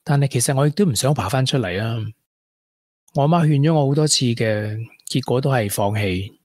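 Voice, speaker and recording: An actor playing a regretful former tech prodigy in a radio drama, with a Hong Kong accent and earnest delivery. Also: male voice, medium pitch, lively intonation, fairly quick, a little mellow, no room sound, studio quality, close to an everyday voice. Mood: bitter regret. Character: regretful young ex-tech prodigy, confessing to a woman. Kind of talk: Cantonese radio drama acting.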